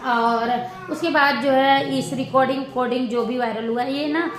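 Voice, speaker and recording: A woman giving casual reaction commentary to her viewers, rambling and halting as she circles back over her points. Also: sits high, fairly even intonation. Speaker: vlogger. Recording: roomy echo with background noise.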